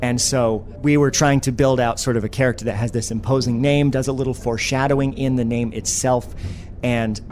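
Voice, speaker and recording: A man's voice: medium pitch, fairly even intonation, brisk, a little mellow, a little hiss or hum, close to an everyday voice. A man playing a self-important, exasperated screenwriter in a comedy sketch, wordy and emphatic. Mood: earnest. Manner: indignant, incredulous, emphatic, wordy. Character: exasperated, self-important male screenwriter defending his script. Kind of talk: comedy sketch dialogue, pitch meeting.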